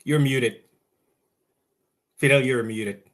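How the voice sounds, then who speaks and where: A man with a mid-pitched voice moderates a panel over a video call. He thanks speakers and asks his questions politely. He hesitates often and uses fillers. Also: takes it slowly, a bit bright.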